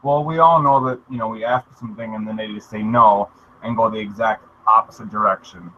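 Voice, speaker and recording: A man's voice: on the low side, fairly even intonation, fairly quick, pushed and bright. A man giving blunt, emphatic opinions in a casual group discussion. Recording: muffled lo-fi mic with faint hiss.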